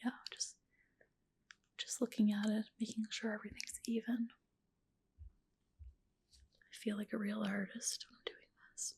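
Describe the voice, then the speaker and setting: Whispery, breathy woman in ASMR roleplay. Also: mid-range voice, level tone, unhurried, pauses often. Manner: gentle, reassuring client-care talk. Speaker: ASMR creator playing a medical spa practitioner. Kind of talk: ASMR roleplay, personal attention. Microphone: close ASMR microphone.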